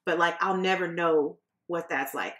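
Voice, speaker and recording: A woman's voice: fairly low pitch, normal speed, fairly bright tone, roomy sound. A woman co-hosting a casual podcast, telling stories in a frank, blunt way. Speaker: podcast co-host.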